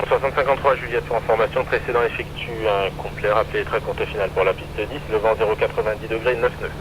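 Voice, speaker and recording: Low-voiced male air traffic controller on noisy radio. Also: steady tone. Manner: hesitant, halting phrasing. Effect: aviation radio. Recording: noisy aviation radio with aircraft drone.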